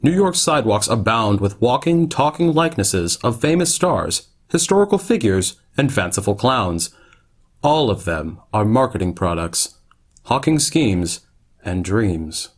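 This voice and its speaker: A man narrating a futuristic radio play as a solemn, measured, dramatic storyteller. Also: on the low side, sing-song.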